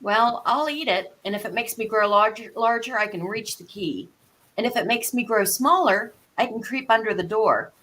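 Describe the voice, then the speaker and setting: Curious young storybook girl, adult female performer: bright, lightly high, polite, wondering aloud to herself. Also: moderate pace, close to an everyday voice. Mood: sensible, determined. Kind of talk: storybook live read.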